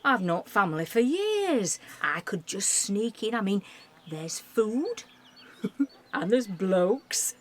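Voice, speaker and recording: Woman acting cheeky, lively gossip. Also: medium pitch, slow. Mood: chatty, cheeky. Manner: chatty, blunt, informal. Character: nosy, cheeky, blokes-loving wartime delivery woman. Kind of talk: amateur radio play acting.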